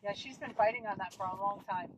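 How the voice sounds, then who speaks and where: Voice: medium pitch, fast, a bit bright, a little hiss or hum, poor audio. A tourist on safari chatting casually and reacting to a lion kill from a vehicle. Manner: reactive, chatty, many yeahs. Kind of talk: casual chat.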